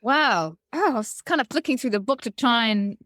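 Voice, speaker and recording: A woman who is a non-native speaker of English, talking as a linguist guest in a relaxed chat, with fillers and laughter. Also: on the high side, moderate pace, no room sound, studio quality. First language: not English. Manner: chatty, laughing, lots of fillers. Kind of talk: casual chat.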